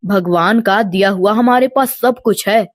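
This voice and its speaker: A woman voicing a kind, devout village father with a gentle, humble manner in a storybook tale. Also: medium pitch, unhurried, no room sound, studio quality, voice pushed down, close to an everyday voice. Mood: earnest, kind.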